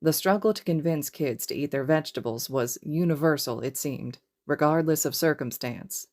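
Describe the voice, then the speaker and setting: A woman's voice: low voice, moderate pace, darkish tone. A woman reading a scripted story aloud as a fiction narrator. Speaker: podfic narrator.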